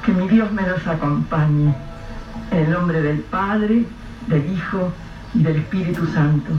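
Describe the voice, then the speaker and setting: A woman's voice: fairly low pitch, unhurried, soft background music, close to an everyday voice. A woman playing a worried, devout older mother pleading with her son in a radio drama. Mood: prayerful. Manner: pleading, fretful, blessing with prayer. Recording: roomy, dull, distant mic.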